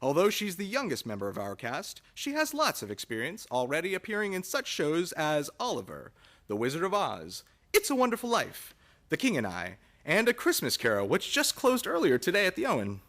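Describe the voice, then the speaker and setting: Lively, higher-pitched male radio announcer. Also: fairly quick. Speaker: radio show host. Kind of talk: scripted cast announcements.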